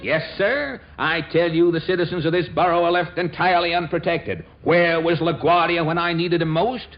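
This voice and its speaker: An actor playing a boastful, pompous windbag of a husband in 1940s radio comedy, speaking in a grandiose, blustering way. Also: male voice, fairly high pitch, steady tone, moderate pace, over music, natural voice. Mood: indignant bluster. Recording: muffled, noisy 1940s radio recording.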